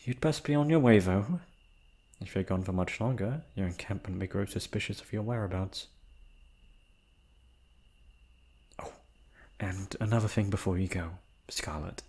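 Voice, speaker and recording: A man's voice: deep voice, moderate pace, a little mellow, slightly rough. A man acting a shy, proud, wistful noble prince in a romantic fantasy roleplay, with hesitant, formal, sighing speech. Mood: stern warning.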